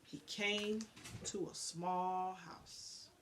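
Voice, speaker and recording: A woman narrating a children's storybook aloud in an expressive style. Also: fairly low pitch, very slow, low-quality recording. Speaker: storybook reader.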